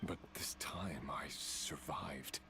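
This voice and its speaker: An actor playing a brooding, remorseful fallen villain in a video game, speaking slowly and solemnly with halting phrases. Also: male voice, very deep voice, gravelly, whispered, heavily acted. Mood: grave. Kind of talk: dramatic video game dialogue. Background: music.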